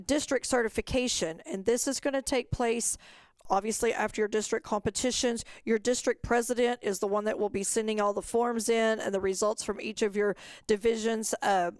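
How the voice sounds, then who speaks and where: A woman's voice: fairly high pitch, no echo. A woman giving an informational webinar presentation, explaining step by step in an instructional way. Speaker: program staff presenter.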